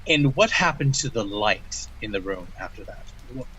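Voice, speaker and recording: An actor playing a measured interviewer in an audio drama, questioning a dreamer in a formal, precise and methodical way. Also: male voice, lowish voice, lively intonation, pushed and bright, a little hiss or hum, rough recording. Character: measured, probing male interviewer examining a dreamer.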